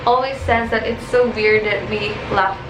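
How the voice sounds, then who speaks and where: A young woman with a high voice chatting casually as a vlogger, reading out questions with an upbeat manner. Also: fairly even intonation, normal speed, background noise. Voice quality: high-pitched. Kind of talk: casual chat. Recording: echoey room with background music.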